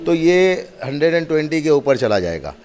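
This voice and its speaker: A man's voice: fairly high pitch, big pitch swings, a little fast, a little mellow. A man gives a spoken demonstration, explaining in an emphatic, repetitive, instructive way. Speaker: mosque representative demonstrating loudspeaker equipment.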